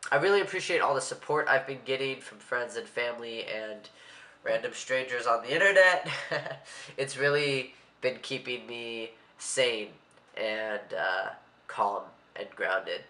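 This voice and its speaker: Young man, congested, rambling and a bit anxious, bright tone in an echoey room. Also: mid-range voice, measured pace. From Maryland, USA. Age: young adult. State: stuffy, congested. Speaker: vlogger. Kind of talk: casual vlog monologue.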